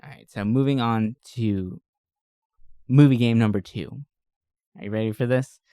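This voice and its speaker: A man's voice: mid-range voice, normal speed, dark tone, clean tone, no echo, clean studio sound. A man co-hosting a podcast, chatting casually and enthusiastically about movies in a rambling, giggly storytelling way. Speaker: podcast co-host reviewing movies. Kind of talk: casual movie-review chat.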